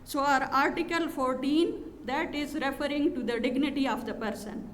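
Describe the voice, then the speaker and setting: A woman giving a formal conference address from a podium, measured and pausing often with fillers. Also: sits high, steady tone. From Pakistan. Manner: measured, with frequent pauses and fillers. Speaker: conference speaker from an NGO. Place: hotel conference hall. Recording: large hall reverb, faint noise.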